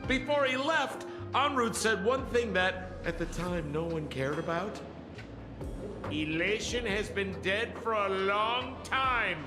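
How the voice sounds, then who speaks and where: An excitable, wily old informant from a video game, high-pitched and wildly swooping. He takes his time over theatrical, teasing lines, in a reverberant space with music underneath. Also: slow pace. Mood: sly, storytelling. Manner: theatrical, teasing storyteller with dramatic exclamations. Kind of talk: animated video game acting.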